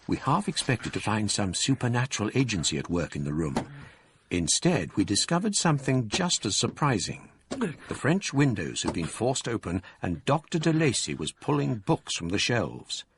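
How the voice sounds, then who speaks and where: An actor giving measured, literary first-person narration as a composed gentleman in a radio drama. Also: lowish voice, background noise. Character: composed gentleman male narrator recalling events.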